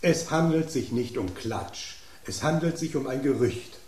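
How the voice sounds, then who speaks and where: A man's voice: mid-range voice, expressive, unhurried, a little mellow, lightly acted. An actor playing a gossipy male table spoon in a radio drama, teasing and theatrical as he spins rumors. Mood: dramatic. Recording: roomy studio echo, faint background.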